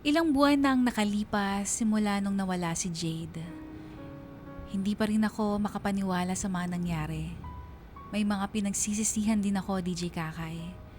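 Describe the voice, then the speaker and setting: A woman's voice: mid-range voice, moderate pace, a little mellow, lightly acted. A woman playing a reflective, bereaved young woman narrating her own love story in a radio drama. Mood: sad, reflective. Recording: music behind the voice.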